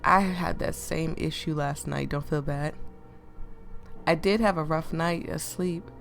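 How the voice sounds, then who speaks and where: Deep, creaky-voiced woman sounding sick. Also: steady tone, measured pace, faint music behind. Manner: chatty, easily amused, stumbles when reading aloud. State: sick, voice affected. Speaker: host of a game let's play. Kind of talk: casual let's play chat mixed with reading game text aloud.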